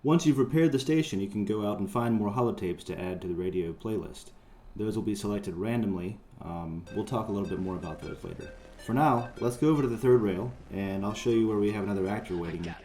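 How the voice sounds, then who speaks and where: Low, mellow man narrating casually. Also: fast, faint music behind. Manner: informal, conversational. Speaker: mod creator presenting his project.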